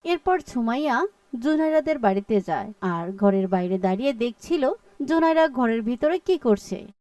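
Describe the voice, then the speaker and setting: A woman reads scripted story narration for a cartoon. The voice is mellow and a little high. The recording is dry yet sounds set back from the mic. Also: lively intonation, moderate pace, dry recording, recorded from a distance.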